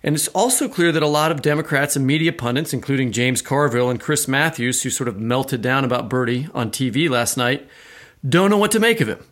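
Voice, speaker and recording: A man's voice: mid-range voice, a little fast, fairly bright tone. An American man co-hosting a podcast, moving between scripted introductions and conversational talk. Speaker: podcast co-host.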